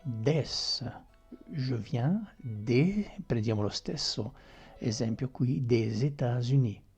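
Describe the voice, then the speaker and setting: A man's voice: medium pitch, lively intonation, slow pace, a little mellow, breathy edge, faint music behind. A man teaching a grammar lesson, patiently repeating examples and checking in with "ok?" as he goes. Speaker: French teacher.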